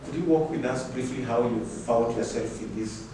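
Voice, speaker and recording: A man's voice: mid-range voice, normal speed. A man hosting a podcast interview, often hesitant and repeating his words. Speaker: podcast host. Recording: echoey room, far from mic, noise.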